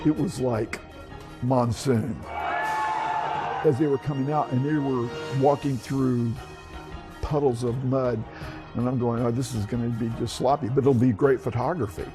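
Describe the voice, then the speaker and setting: A man in his seventies from Texas, a veteran photographer reminiscing in an interview. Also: mid-range voice. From Texas, United States. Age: 70s.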